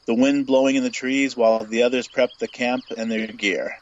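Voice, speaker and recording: A man's voice: medium pitch, steady tone, moderate pace, dull audio. A man reading scripted Western fiction aloud as the narrator of an audio story.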